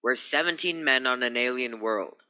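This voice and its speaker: A stern spaceship captain acted by a man in an old-time radio play. His voice is very bright and forceful, with clipped, authoritative delivery, recorded close and dry. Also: medium pitch, moderate pace, clean tone, no room sound. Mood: stern, grave. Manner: clipped, authoritative orders and solemn announcements. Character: stern, commanding male captain of a naval spaceship.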